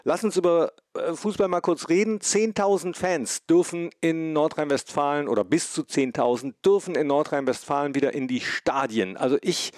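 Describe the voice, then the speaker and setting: A man's voice: fairly high pitch, creaky, dry recording, clean studio sound. A man hosting a podcast from home over a phone link, chatty and self-deprecating, stuffy with a cold. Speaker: podcast host. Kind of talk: casual remote chat.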